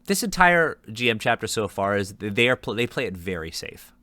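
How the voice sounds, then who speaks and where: A man co-hosting a podcast discussion, talkative and quick to laugh and joke. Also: fairly low pitch, very animated, bright, forceful tone, dry recording. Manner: talkative, laughs often, jokey asides. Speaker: podcast co-host. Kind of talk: casual panel discussion.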